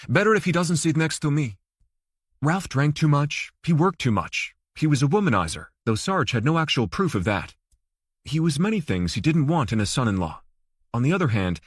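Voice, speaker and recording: A man's voice: medium pitch, breathy edge, no room sound, studio quality. A man reading scripted fiction as an audiobook narrator.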